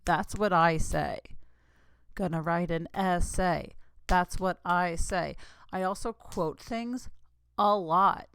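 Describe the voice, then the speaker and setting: A woman's voice: fairly low pitch, slow pace, a bit bright, smooth, dry recording. Chatty woman in her thirties, dry sound. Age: 30s. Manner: rambling, giggly, lots of "like" and asides. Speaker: podcast host. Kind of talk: casual solo podcast chat.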